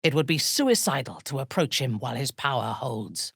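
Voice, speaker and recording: Female dark elf commander, fantasy game, low register, very dry close sound, haughty, menacing, formal. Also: animated, normal speed, vocal fry, husky, no room sound, exaggerated voice. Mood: cold, haughty. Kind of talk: dramatic fantasy game voice acting.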